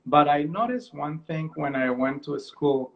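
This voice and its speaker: A man hosts a video-call chat, his voice a bit higher than most men's. He tells stories in a loose conversational way and often corrects himself mid-sentence. The sound is dull and lo-fi. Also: lively intonation, unhurried, vocal fry. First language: Spanish. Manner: conversational, storytelling, self-correcting. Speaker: host. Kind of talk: casual video-call interview.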